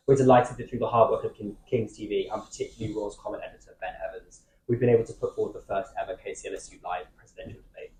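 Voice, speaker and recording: A young man moderating a student debate, explaining the format quickly with some fillers. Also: fairly low pitch, fairly even intonation, darkish tone, reverberant, slight background noise, poor audio. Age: young adult. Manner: quick, slightly halting with fillers.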